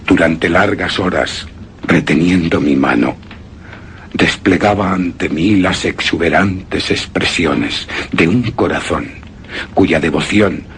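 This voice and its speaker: An actor playing a grieving, obsessed widower in a suspense and horror radio drama, with a solemn, halting, brooding delivery that drops into whispers. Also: male voice, extremely low pitch, creaky, a bit bright, slight background noise, heavily acted. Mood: tormented grief. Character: grieving, obsessed widower recounting his beloved's death. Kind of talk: Spanish-language suspense and horror radio drama, theatrical monologue.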